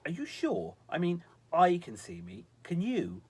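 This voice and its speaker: A man's voice: mid-range voice, takes it slowly, a little mellow. Man voicing a flustered, muddled male snow angel. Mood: incredulous. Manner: muttering, hesitant, self-correcting.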